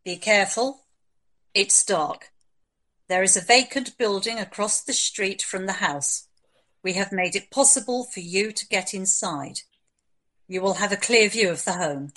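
A woman playing a calm, businesslike doctor who directs covert operations in a scripted audio drama, speaking in a serious, measured way. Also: medium pitch, natural voice.

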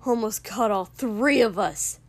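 A woman voicing a furious young man in an audiobook, sharp and gravelly, barking scornful, foul-mouthed tirades. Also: fairly high pitch, unhurried, no echo. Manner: barking, swearing, dismissive.

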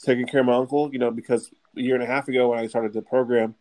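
A man's voice: mid-range voice, level tone, very fast, darkish tone, rough recording. A young man co-hosting a casual student chat, laid-back and joking, chuckling often. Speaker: podcast co-host.

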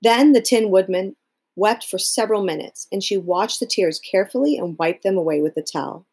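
A woman reading a children's story aloud as scripted narration, in an expressive style. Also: medium pitch, fairly quick, slightly rough. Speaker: storybook reader.

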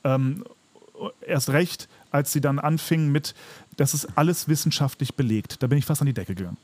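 Husky-voiced man in skeptical casual chat. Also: a little high, no room sound. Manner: skeptical, argumentative, halting restarts. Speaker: podcast co-host.

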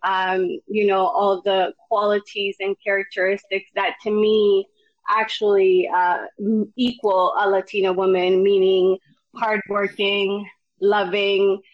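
A woman's voice: medium pitch, no room sound. Laughing woman on a muffled dry call. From El Salvador. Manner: warm, laughing, hesitant with many ums. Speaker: panel guest. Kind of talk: casual panel discussion.

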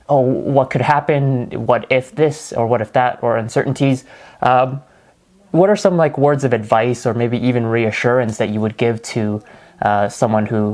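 A man hosting an interview, asking long, halting questions full of fillers and restarts. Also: mid-range voice, a little mellow. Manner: hesitant, many fillers and restarts. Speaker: interview host.

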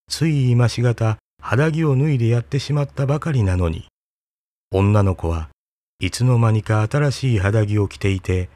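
Man calmly reading a fairy tale. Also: on the low side, dry recording. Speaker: storyteller.

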